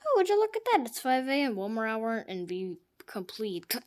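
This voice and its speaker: A woman giving jokey gameplay commentary, trash-talking the game characters and swinging from hushed mutters to sudden loud outbursts. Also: fairly high pitch, big pitch swings, moderate pace. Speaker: gamer.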